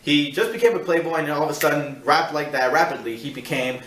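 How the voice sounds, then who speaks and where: A man hosting a casual film review, talking in a rambling, halting way and repeating himself as he searches for words. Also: a little high, fairly bright tone. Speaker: film review show host. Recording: echoey room, distant mic.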